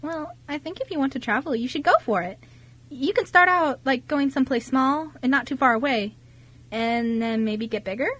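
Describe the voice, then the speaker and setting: A high, girlish voice from an actress playing a friendly teenager in a radio play. She is chatty and eager, with a bubbly, giggly way of talking to new people. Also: moderate pace, fairly bright tone. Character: bubbly, friendly fifteen-year-old girl working in family cafe. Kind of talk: amateur radio drama dialogue.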